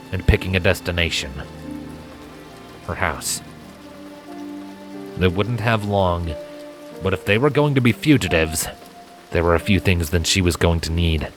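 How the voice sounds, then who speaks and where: A man reading fan fiction as a dramatic, scripted storybook narration. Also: sits low. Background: music and rain effects.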